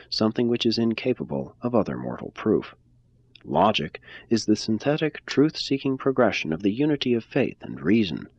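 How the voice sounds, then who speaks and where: A man giving a measured, phrase-by-phrase scripted reading of a religious text. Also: fairly low pitch, vocal fry, breathy edge, dry recording, lo-fi sound. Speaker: reader.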